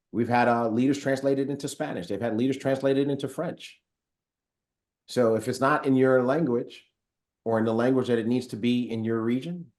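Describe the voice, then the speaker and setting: A man's voice: medium pitch, darkish tone. A man hosting a live online training call, upbeat and chatty, reading viewer comments aloud with frequent fillers. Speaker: host of a company training call. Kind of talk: casual live webinar hosting.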